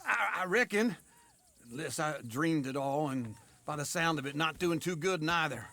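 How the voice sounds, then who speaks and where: An actor playing a lazy, whining old outlaw who rambles and stammers, in video game dialogue. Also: male voice, a little high, expressive, moderate pace, pushed and bright. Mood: flustered. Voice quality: raspy, creaky.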